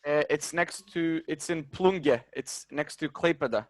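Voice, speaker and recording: A man chatting casually over a video call, relaxed and laughing easily, with many "like"s. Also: a little high, a little slow, dry recording. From Britain, Lithuanian family. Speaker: native-speaker guest. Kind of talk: casual chat.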